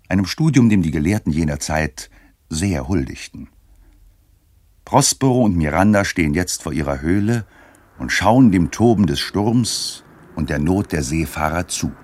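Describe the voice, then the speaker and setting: A man narrating a scripted radio play as a storyteller, measured and articulate. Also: low-pitched, a little mellow, no echo, studio quality. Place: studio, dry sound.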